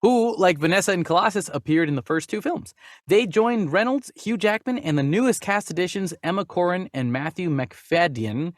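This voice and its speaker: A man hosting a casual movie news chat, jokey and animated, sometimes stumbling as he reads. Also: sits high, moderate pace, dry recording, clean studio sound. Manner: jokey, animated, stumbles over names when reading. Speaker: podcast host reading and discussing movie news.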